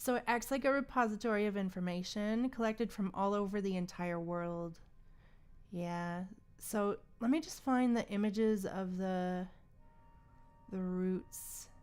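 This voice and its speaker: A woman's voice: medium pitch, steady tone, unhurried, a little mellow, clean tone. A woman chatting casually on a gaming livestream, thinking aloud in an informal, musing way. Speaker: livestream host.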